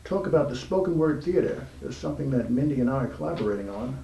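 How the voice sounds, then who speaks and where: A man hosting a casual, unscripted interview in a halting, informal way. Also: mid-range voice, lively intonation, warm tone. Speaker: interview host. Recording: echoey, distant mic, background room noise.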